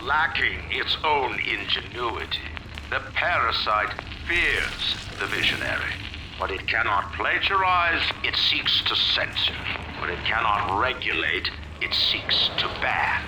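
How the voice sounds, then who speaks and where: Imperious, slow-spoken male ideologue. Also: lowish voice, very animated, reverberant, background noise. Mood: scornful. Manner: oratorical, declamatory, emphatic. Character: imperious male founder of a city, bitter ideologue. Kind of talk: grand scripted propaganda narration.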